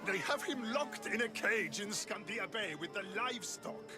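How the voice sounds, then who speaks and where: High-pitched, fervent cult follower. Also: male voice, animated, moderate pace. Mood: earnest reverence. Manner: fawning, earnest, overblown. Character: fervent, pleading male cult follower in ancient Greece. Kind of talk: dramatic video game dialogue. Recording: music behind the voice.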